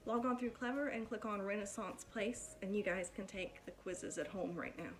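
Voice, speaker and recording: A woman reading a picture book aloud to children in an expressive, deliberate storytelling manner, like a teacher addressing pupils. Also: medium pitch, a little hiss or hum.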